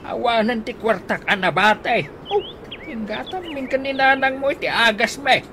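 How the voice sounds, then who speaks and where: A woman's voice: on the high side, moderate pace, background noise, lightly acted. A woman playing a fretful elderly rural father in a radio comedy drama, exclaiming and complaining. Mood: exasperated. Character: fretful elderly rural father, exasperated with his grown son.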